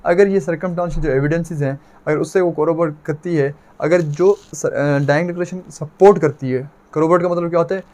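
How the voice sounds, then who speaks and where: A man's voice: a little high, steady tone, normal speed, few pauses, darkish tone. A man with a Pakistani accent giving an explanatory law lecture, mixing in English legal terms, posing questions and answering them himself, and repeating phrases for emphasis. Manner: didactic, asks rhetorical questions and answers them.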